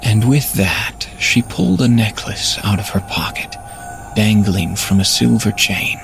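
A man's voice: lowish voice, unhurried, vocal fry, over music. A man playing a troubled husband, narrating a horror story in a brooding, dramatic manner. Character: troubled husband and investigator telling his downfall.

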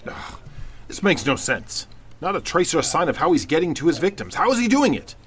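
An actor playing a gruff, frustrated police commissioner in an old-time radio drama, with an emphatic, commanding manner. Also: male voice, fairly high pitch, big pitch swings, a little fast, fairly bright tone. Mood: exasperated. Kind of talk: 1940s radio drama acting.

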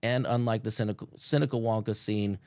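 A man's voice: lowish voice, fairly even intonation, a little fast, darkish tone, no echo, studio quality. A young adult man co-hosting a casual entertainment chat show, joking and tripping over his words as he reads the news. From Nebraska. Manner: joking, stumbling restarts, playful. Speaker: co-host.

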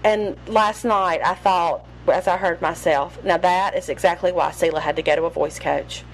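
A woman's voice: lowish voice, steady tone, moderate pace, soft background music, rough recording. A woman with a Southern American accent, co-hosting a podcast in casual chat, with chest congestion and a drawling, storytelling way of talking. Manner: drawling, storytelling, drops final g's. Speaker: podcast co-host.

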